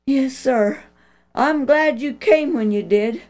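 A woman's voice: slow pace, a little mellow. Woman voicing a scared polite young boy. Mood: frightened, earnest. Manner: high, pleading, breathless. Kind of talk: storybook reading.

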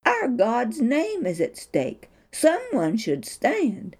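A brave young shepherd boy who speaks up earnestly and insists, as a woman storyteller voices him. His lines come at an unhurried pace with a lively, rising and falling pitch. It sounds like a storybook reading. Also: breathy edge, heavily acted.

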